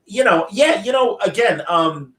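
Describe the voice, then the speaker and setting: A man hosting a live online interview over a video call, enthusiastic and quick to praise. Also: high-pitched, lively intonation, fairly bright tone. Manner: enthusiastic, effusive. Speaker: host. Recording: roomy echo.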